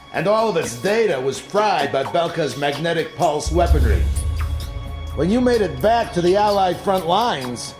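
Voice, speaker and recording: A villainous military officer, smug and mock-polite, in a video game drama. His voice sits fairly high for a man and swings widely in pitch over loud music. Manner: mock-polite, deliberate interrogation.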